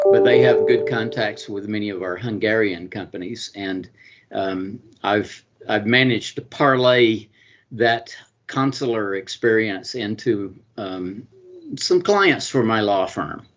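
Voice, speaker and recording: A middle-aged man with a Southern Georgia accent gives interview answers in a low voice. He hesitates often, restarting phrases and filling gaps with ums and uhs. The recording sounds dull and lo-fi. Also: a little slow. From US state of Georgia. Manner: hesitant, many fillers and restarts. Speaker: consul and business attorney, guest.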